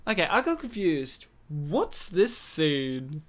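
A man's voice: on the high side, sing-song, slow pace, fairly bright tone. A man co-hosting a casual film commentary podcast, rambling and joking between his points. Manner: jokey, rambling, slips into silly impressions. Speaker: podcast co-host.

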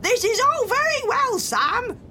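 A woman plays a bold pirate mother from a storybook. The voice is high and swoops widely, with theatrical, commanding cries. There is faint noise behind it. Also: big pitch swings, measured pace, exaggerated voice. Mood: shouting, dramatic. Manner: shouting, exclamatory, theatrical. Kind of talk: children's storybook character voice.

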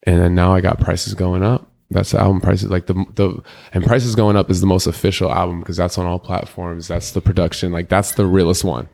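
Deep gravelly-voiced man telling stories. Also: level tone, a little fast, darkish tone. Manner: loose, slangy, rambling storytelling. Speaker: rapper and interview guest. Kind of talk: casual candid interview talk.

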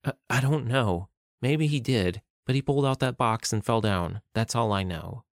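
A man's voice: medium pitch, moderate pace, no room sound, clean studio sound, close to an everyday voice. A man voicing a frightened young female shop worker for an audiobook, timid, shaky and stammering. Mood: nervous, unsure. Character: frightened young woman working in a coffee shop.

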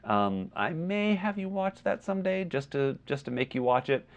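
A man's voice: a little high, expressive, normal speed. Man chatting, slightly dull lo-fi sound. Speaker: podcast co-host. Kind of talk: casual film discussion.

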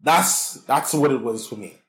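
A man's voice: medium pitch, normal speed, a bit bright. A talkative, boisterous man from the Bahamas with a Bahamian accent, telling his story as a guest in a casual interview. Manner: boisterous, talkative, repeats words. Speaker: guest, cannabis consultant.

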